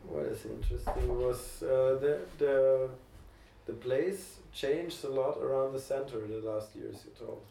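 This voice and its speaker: Man, dark gravelly tone, casual interview, distant roomy noisy recording. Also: medium pitch, a little slow.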